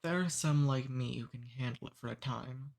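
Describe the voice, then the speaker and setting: A courteous demon who abducts humans speaks softly and at an easy pace, as a man acts him out in an ASMR roleplay. He is amused and gently teasing, never threatening, and his voice sits in a plain middle register. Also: mid-range voice, moderate pace, creaky, close to an everyday voice. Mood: amused cordial.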